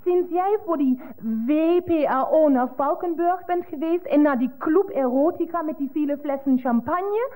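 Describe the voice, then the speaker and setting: A woman's voice: high-pitched, normal speed, few pauses, mellow, lightly acted, rough recording. An actress playing an amorous, coaxing German girlfriend with a German accent, cooing endearments and slipping German words into her Dutch in a radio play. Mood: plaintive.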